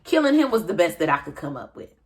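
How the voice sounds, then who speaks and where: An aggrieved American wife, acted by a woman, pleads her case in a darkly comic stage monologue. Her voice sits low, and her delivery is confiding and dramatic, moving between measured explanation and emphatic self-justification. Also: sing-song, a little mellow. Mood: defensive, indignant.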